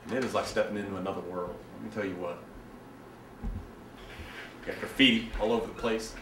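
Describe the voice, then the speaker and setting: A man performing a dramatic monologue as a self-righteous, resentful young man, telling his story in a halting, colloquial, confiding way. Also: lowish voice, fairly even intonation, lightly acted. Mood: tense, hushed. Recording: echoey room, distant mic, faint noise.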